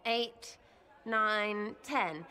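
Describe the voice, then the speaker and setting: A cheeky young elf woman from a fantasy video game, with an English accent. Her voice is forward and sharp, and she takes her time, stumbling playfully through slangy, heartfelt words. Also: mid-range voice, extremely slow. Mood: nervous, heartfelt. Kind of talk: video game cutscene dialogue.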